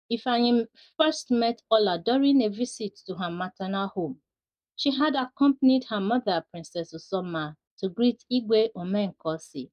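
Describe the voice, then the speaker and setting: A woman storyteller reading a scripted folktale narration. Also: mid-range voice. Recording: dull, lo-fi sound.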